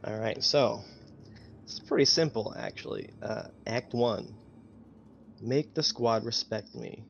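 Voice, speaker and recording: A man chatting casually as a tabletop game player, recapping his goals in a deadpan, clipped way. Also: mid-range voice, slow, vocal fry, dull audio, a little hiss or hum.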